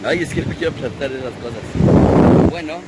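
A young Mexican-accented man chats casually into a handheld phone. Loud wind rumbles over his voice on an open beach. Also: moderate pace. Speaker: vlogger. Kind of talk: casual chat to camera. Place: outdoors on an open windy beach. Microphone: handheld phone at arm's length.

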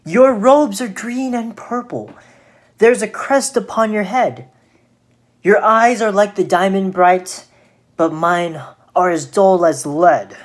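A woman voicing a sly, flattering male spider for a children's storybook, with slow, honeyed, drawn-out coaxing. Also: medium pitch, pitched above the performer's own voice, natural voice. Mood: luring. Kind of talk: children's storybook read aloud.

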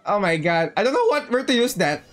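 A woman's voice: medium pitch, very animated, a little fast, soft background music. A woman livestreaming a video game, chatting casually and bantering with viewers, often repeating her words and phrases. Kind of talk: casual gameplay chat with viewers.

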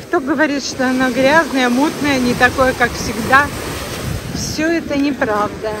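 A woman's voice: sits high, unhurried. A woman vlogging on a crowded beach, talking in an upbeat, inviting way and repeating words for emphasis, recorded on a handheld phone. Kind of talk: casual vlog commentary. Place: crowded sandy beach outdoors by the surf. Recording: loud surf and wind noise.

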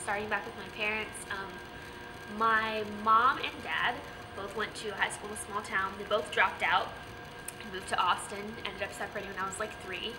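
A woman's voice: medium pitch, fairly even intonation, moderate pace, noisy background. A young woman telling her own story candidly, with many "like" fillers, in an indoor interview. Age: young adult. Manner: candid, filler-heavy with frequent "like". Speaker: interviewee, college freshman. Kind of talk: casual personal story.

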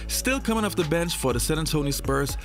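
A man hosting a basketball history show, reading a scripted ranked list in an animated presenter manner. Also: fairly high pitch, brisk. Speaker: basketball history channel host. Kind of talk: scripted narration. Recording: background music under voice.

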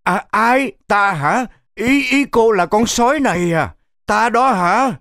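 Hapless cartoon wolf: theatrical exclamations, wildly animated pitch, dry close sound. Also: male voice, slow pace, gravelly, dry recording, heavily acted. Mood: surprised, delighted. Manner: exclaiming, dramatic, stammering when flustered. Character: hapless, excitable grey wolf, protesting his innocence. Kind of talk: children's cartoon dub.

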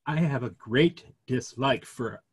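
A man's voice: medium pitch, slow, slight background noise, natural voice. A man in his fifties reading a play as a mild, earnest clergyman who reasons things out at length. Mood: earnest reasoning. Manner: earnest, discursive. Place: small study, video call.

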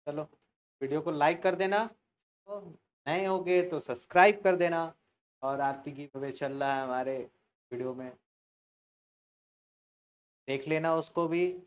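A man's voice: fairly high pitch, steady tone, a little slow, pauses often. A young man giving casual gaming commentary. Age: young adult. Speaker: gaming YouTuber. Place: small room indoors.

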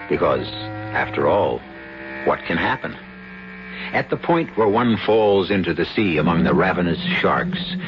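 Deep low guy hosting an old radio mystery, wry measured narration, music under him, lo-fi sound. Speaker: host and narrator. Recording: dull lo-fi 1970s radio broadcast with music bed.

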